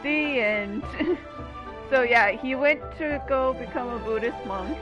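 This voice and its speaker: High-voiced woman doing game commentary. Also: takes it slowly, lo-fi sound. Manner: informal, reactive. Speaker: gaming video commentator. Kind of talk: casual playthrough commentary. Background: game music.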